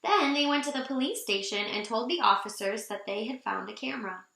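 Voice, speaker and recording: A woman reading a children's storybook aloud in an animated, expressive way for young listeners. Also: fairly high pitch, a little fast, gravelly, roomy sound.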